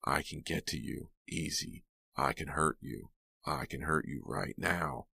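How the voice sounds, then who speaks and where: A menacing attacker, as acted by a male audiobook reader, hissing threats in a raspy whisper. The voice is breathy and cold, in a thriller story, with very dry sound. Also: a bass voice, lively intonation, takes it slowly, bright, forceful tone, dry recording, exaggerated voice. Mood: threatening, demanding.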